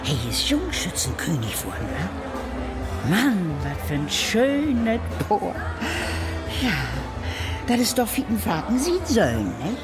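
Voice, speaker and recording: A woman playing a gossipy, indulgent village woman speaking Low German dialect in a radio drama. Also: wildly swooping pitch, a little slow. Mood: admiring, gossipy. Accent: Northern German, Low German dialect. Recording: music behind the voice.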